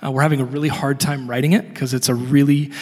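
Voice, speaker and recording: A man, the church's pastor, introducing a guest speaker in a halting way, full of restarts and fillers. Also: medium pitch, little pitch movement, a little mellow. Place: church sanctuary. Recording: long church reverb.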